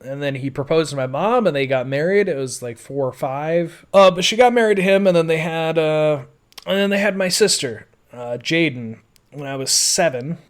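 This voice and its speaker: Clear-voiced man, slightly high, rambling storyteller. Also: expressive, moderate pace. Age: adult. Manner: rambling, conversational, frequent "like" and "you know". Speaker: podcaster. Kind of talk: autobiographical audio blog, casual monologue.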